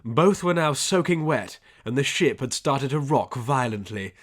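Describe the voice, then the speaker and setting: A man's voice: medium pitch, expressive, measured pace. A man reading a scripted adventure story as an audiobook narrator, with dramatic delivery.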